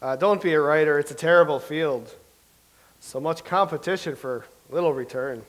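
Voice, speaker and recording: A man plays a bitter old-time playwright in a stage comedy, hungry for credit and quick to quarrel. His voice is a little high for a man. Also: close to an everyday voice. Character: grumpy, bitter English playwright from centuries past, envious and combative. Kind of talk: staged play reading, comedic.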